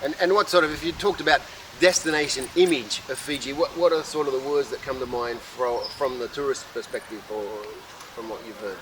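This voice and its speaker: A man's voice: mid-range voice, fairly quick. A man hosting an interview in an informal, colloquial way, introducing and asking questions. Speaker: show host and interviewer. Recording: distant mic with clear urban outdoor noise.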